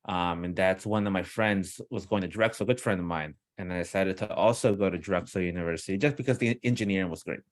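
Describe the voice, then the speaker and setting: A man's voice: low voice, quick pace, few pauses, no echo. A man from Peru who grew up partly in the US, talking casually and enthusiastically as a podcast guest over a video call on his own microphone. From Peru, moved to the US as a young child. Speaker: podcast guest, company founder. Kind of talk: casual conversational interview. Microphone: own external microphone on a video call.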